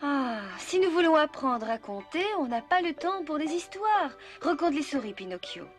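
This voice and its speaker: A woman playing a firm, patient female guardian and teacher in a French cartoon dub, speaking in an instructive, gently strict way. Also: sits high, sing-song, normal speed. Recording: music behind the voice.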